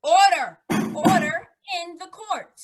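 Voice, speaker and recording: Woman as high-voiced, officious court official. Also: slow, faint music behind. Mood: commanding. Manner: calling out commands. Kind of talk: old-time radio drama acting.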